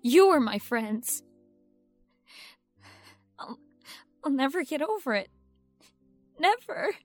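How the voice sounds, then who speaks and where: A woman's voice: high voice, very animated, slow pace, faint music behind, exaggerated voice. A woman playing a young, ambitious actress in an audio drama, earnest and self-dramatizing over a scheming streak. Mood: wounded. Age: young adult. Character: young ambitious actress, wounded innocence masking cold scheming.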